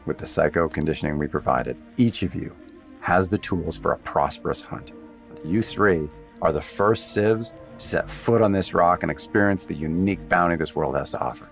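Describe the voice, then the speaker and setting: A seasoned expedition guide with a very low voice. He is measured, dry and commanding, as in a science fiction radio play, with music underneath. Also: vocal fry. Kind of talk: audio drama acting with narration.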